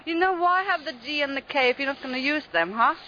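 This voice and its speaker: A woman's voice: high voice, animated, fast talker, bright, forceful tone, highs cut off, slight background noise. A woman hosting a playful chat at an outdoor street market, mixing English with Cantonese phrases. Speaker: host. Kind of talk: casual chat while shopping at a market.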